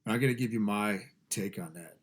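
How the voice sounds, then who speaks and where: A man with a somewhat low voice, clogged and nasal from allergies, talks to his listeners from a garage workspace. He is casual and emphatic, restarting sentences and repeating phrases for weight. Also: a little fast. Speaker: podcast host and fasting coach. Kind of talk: casual motivational talk. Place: home garage studio.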